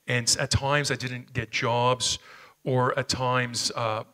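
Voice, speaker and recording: Bright-voiced Canadian preacher, earnest storytelling. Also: male voice, medium pitch, level tone, slow. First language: Slovenian. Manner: earnest, personal storytelling with frequent hesitations. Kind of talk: sermon. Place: church stage, medium-sized hall. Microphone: headset mic.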